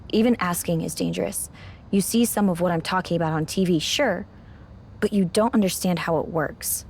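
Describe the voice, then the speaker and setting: Veteran lady wrestler in a game, serious warning tone, kinda low voice, faint noise behind. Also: normal speed, creaky, a little mellow. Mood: grave warning. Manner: grave, cautioning. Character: seasoned female wrestling champion, grave keeper of dangerous secrets. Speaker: voice actor in a wrestling video game. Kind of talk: cinematic game dialogue.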